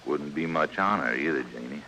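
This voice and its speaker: An actor playing a proud, fatalistic, plain-spoken blacksmith in an old-time western radio drama, stubborn and resigned. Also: male voice, extremely low pitch, lively intonation, brisk, pushed and bright, exaggerated voice. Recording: very noisy old radio recording.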